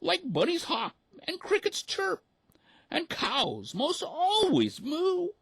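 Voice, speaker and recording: A man voicing a fussy, scandalized old mother duck in a shrill, outraged falsetto, in a rhymed storybook recitation. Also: wildly swooping pitch, slow pace, creaky, fairly bright tone, no room sound, clean studio sound, heavily acted. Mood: shocked.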